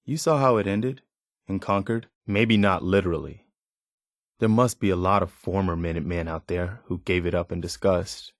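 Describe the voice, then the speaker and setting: An actor voicing an earnest, resolute militia leader who rallies settlers, in video game dialogue. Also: male voice, deep voice, no echo, clean studio sound. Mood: somber.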